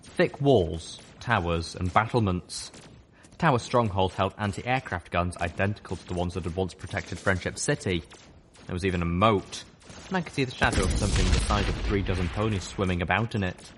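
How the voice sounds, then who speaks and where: Deep-voiced man reading a story. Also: a little hiss or hum. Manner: steady, measured reading. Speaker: audiobook reader. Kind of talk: first-person fiction narration. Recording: music playing underneath.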